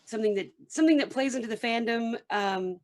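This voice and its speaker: Halting woman in casual group chat. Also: on the high side. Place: remote group video call.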